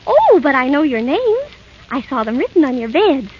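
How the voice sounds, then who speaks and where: A woman's voice: high voice, big pitch swings, normal speed. A woman playing a sweet, innocent young princess in 1930s radio drama, speaking in polite, earnest exclamations. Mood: delighted. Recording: dull, noisy 1930s radio broadcast.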